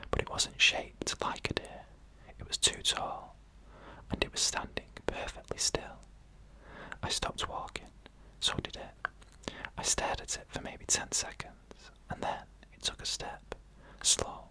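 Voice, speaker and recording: An ASMR storyteller narrating an eerie first-person tale entirely in a hushed whisper, with slow, deliberate phrasing and pauses, close to the microphone. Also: rough recording.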